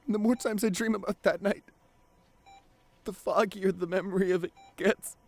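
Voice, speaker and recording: A man playing a grieving, devoted boyfriend in an emotional ASMR audio roleplay, pleading haltingly through tears with his voice breaking. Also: high-pitched, steady tone. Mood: sad, fearful. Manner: halting, repeats phrases, voice breaking into sobs. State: crying. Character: grieving devoted boyfriend pleading at comatose partner's hospital bedside.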